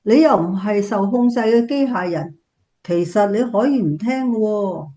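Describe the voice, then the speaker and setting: A woman playing an affectionate, reflective grandmother in a Cantonese radio drama, speaking gently. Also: medium pitch, lively intonation, normal speed, natural voice.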